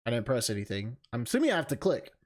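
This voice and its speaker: Streamer with a very lively pitch. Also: very fast. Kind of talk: visual novel read-aloud with casual asides. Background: music.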